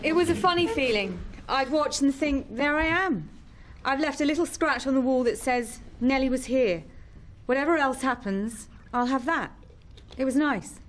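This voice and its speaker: A woman's voice: high voice, moderate pace, lightly acted. A woman acting a wistful, wry character with a bitter streak at a live comedy table read. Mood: wistful, then indignant. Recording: live stage, faint noise.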